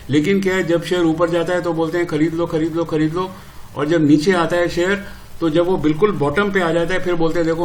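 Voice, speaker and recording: Indian male educator, slightly high voice, exasperated and opinionated explainer, informal, faint background noise. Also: a little mellow, rough recording. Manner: exasperated, opinionated, uses rhetorical questions and repetition. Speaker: stock market educator. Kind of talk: informal explanatory commentary with Hindi-English code-switching.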